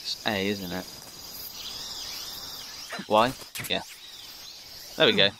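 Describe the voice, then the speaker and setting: A man's voice: on the low side, takes it slowly, vocal fry, noisy background. A man giving casual, chatty game commentary with offhand muttered asides. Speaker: let's play commentator.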